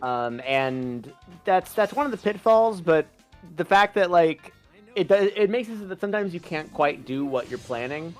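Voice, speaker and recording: Mid pitched fandub streamer chatting, blunt and emphatic, music behind. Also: animated, normal speed. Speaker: fandub voice performer on a livestream. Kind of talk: casual chat. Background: background music.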